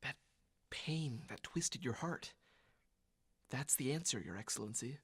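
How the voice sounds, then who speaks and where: A man's voice: medium pitch, animated, measured pace, fairly bright tone, husky. Man acting a nervous, stammering court doctor. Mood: grave, nervous. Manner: hesitant, stammering, apologetic. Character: deferential, fearful doctor serving a tyrant. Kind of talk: old-time radio drama acting.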